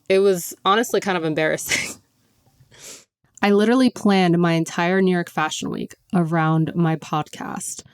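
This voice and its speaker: Young woman, podcast host, casual gushing chat, lots of "like", slightly low voice, dry close sound. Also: clear, resonant tone, no room sound. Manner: chatty and gushing, heavy use of "like".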